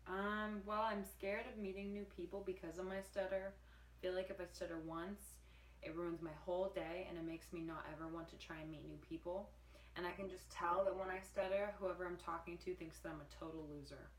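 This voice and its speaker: A woman's voice: lowish voice, steady tone, brisk, close to an everyday voice. A woman role-playing an anxious fourteen-year-old boy who stutters, speaking hesitantly as a client in a mock counseling session. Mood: worried. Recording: echoey room, mic at a distance, faint noise.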